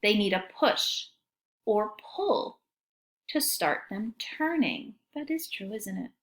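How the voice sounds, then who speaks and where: Slow, very lively woman reading to children. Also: mid-range voice. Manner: expressive, child-directed, often asking questions. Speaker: teacher reading aloud. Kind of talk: children's picture-book read-aloud with questions.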